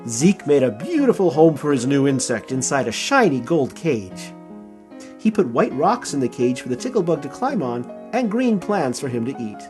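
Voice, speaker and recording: A man reads a children's picture book aloud with playful, expressive emphasis, as its author. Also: mid-range voice, normal speed, music in the background. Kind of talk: children's storybook read-aloud.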